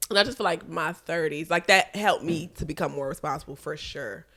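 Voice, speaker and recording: A woman's voice: deep voice, a little fast, bright, forceful tone. Congested, profane woman in her thirties. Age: 30s. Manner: candid, profane, laughs often. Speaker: podcast co-host. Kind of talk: casual chat.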